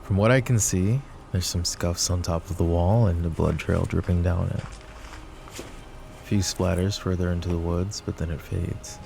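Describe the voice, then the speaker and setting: A man playing a possessive, overprotective mafia boss in an intimate roleplay audio drama, with a low gravelly voice and a measured, commanding manner. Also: fairly even intonation, dark tone, a little hiss or hum. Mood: serious, measured. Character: possessive, overprotective male mafia boss, curt but caring. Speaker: voice actor.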